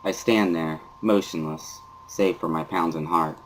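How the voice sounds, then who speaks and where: A man's voice: deep voice, steady tone, unhurried, a little hiss or hum, poor audio. A man reading a story aloud as a dramatic first-person narrator. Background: guitar music.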